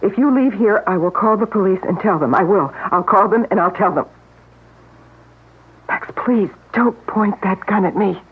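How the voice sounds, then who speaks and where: A woman's voice: medium pitch, normal speed, husky, lightly acted. An actress playing a frightened woman who pleads and warns urgently, in a radio drama. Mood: pleading. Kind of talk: 1970s radio drama acting. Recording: tinny lo-fi old radio broadcast.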